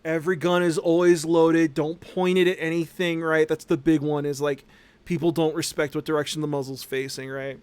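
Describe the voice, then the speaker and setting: Animated, higher-voiced male streamer. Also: moderate pace, smooth. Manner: animated, sarcastic, sweary storytelling; acts out mocking quotes. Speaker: livestream host. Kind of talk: casual rambling livestream chat.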